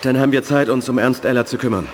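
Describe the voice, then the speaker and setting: An actor playing a decisive, protective agent with an insistent, clipped manner in a 1980s radio drama. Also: male voice, medium pitch, steady tone, fast, noisy background, lightly acted. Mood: commanding. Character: decisive male telepath agent, urgent and protective.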